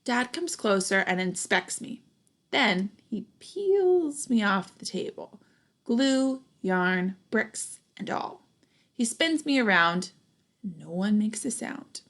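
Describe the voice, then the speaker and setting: A young adult woman reading a children's picture book aloud expressively, pausing to put questions and comments to young listeners. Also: mid-range voice, animated, fairly bright tone. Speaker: storybook reader, teacher.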